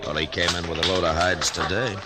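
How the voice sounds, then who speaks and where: A man playing a steady, laconic frontier marshal in an old radio western, slow and hesitant with many pauses. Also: low voice, heavy background noise, lightly acted. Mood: probing. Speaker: narrator and lead character. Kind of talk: 1950s radio western acting.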